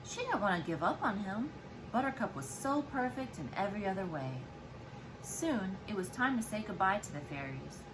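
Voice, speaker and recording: A woman's voice: mid-range voice, moderate pace, noisy background. A woman, a mother, reading a children's storybook aloud expressively to her child. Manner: expressive, acts out dialogue and explains to child.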